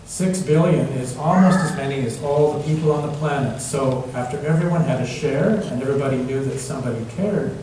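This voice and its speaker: A man reading a children's story aloud to all ages, expressive and dramatic, savoring the rhymes with emphatic pauses. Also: medium pitch. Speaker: storyteller reading a picture book at a church. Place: large indoor church space, heard from a distance. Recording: echoey church hall, distant mic, faint noise.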